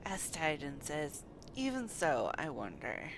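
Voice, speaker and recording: Female game streamer, lively pitch, unhurried pace, reacting aloud over faint background noise. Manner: animated, expressive, reacting aloud to play. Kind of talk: live gameplay commentary, reading dialogue aloud.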